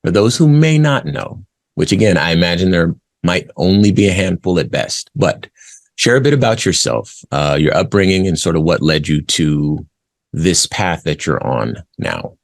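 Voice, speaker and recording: A man's voice: deep voice, animated, moderate pace, vocal fry. A man hosting an interview show, polite and wordy, with many short affirmations as he introduces his guest. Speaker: show host.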